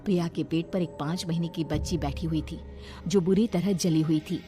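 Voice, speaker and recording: A woman's voice: deep voice, fairly even intonation, moderate pace, over loud music. A woman narrating a scripted story dramatically as a storyteller. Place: voice-over recording with background music.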